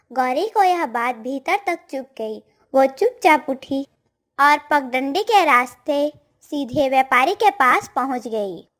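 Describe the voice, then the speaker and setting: High-pitched woman narrating a moral tale. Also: fairly bright tone.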